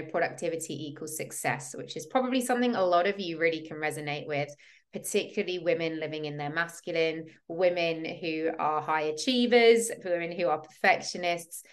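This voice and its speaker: A woman in her thirties from the UK, hosting a podcast and telling her personal story in an earnest, reflective way. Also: deep voice. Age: 30s. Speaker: podcast host, women's coach.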